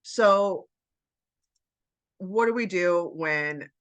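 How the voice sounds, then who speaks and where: A woman's voice: fairly low pitch, very slow, clear, resonant tone. A woman chatting casually to her viewers about home routines, with a stuffy nose after the flu. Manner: chatty, encouraging, addresses viewers as you guys. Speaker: homemaking vlogger. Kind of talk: casual chat to camera.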